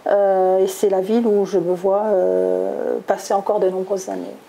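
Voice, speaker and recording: A woman's voice: mid-range voice, lively intonation, moderate pace, creaky, dark tone, slight background noise. A middle-aged woman from southwest France answering interview questions conversationally, with many hesitations and self-corrections. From Toulouse, southwest France. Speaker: interviewee, executive recruiter.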